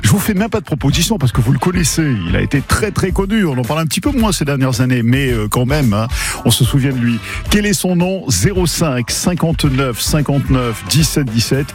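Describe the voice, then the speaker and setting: A man's voice: medium pitch, very animated, brisk, soft background music. A man hosting a local radio show, chatty and upbeat, giving listings and running a quiz. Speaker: radio host.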